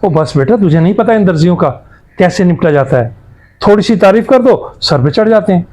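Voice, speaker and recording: A hot-tempered, scheming father acted by a man in a moral drama. His high voice blusters and brushes others off. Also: lively intonation, a little fast, dark tone. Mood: smug, dismissive. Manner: blustering, dismissive. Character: cunning, hot-tempered father and dishonest customer. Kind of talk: moral story drama acting.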